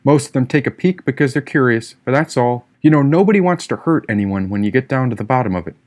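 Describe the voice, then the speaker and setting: A man's voice: mid-range voice, expressive, quick pace, a little mellow, close to an everyday voice. A man voicing a free-spirited, teasing young woman in an audiobook, with a breezy, irreverent manner. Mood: easygoing, musing.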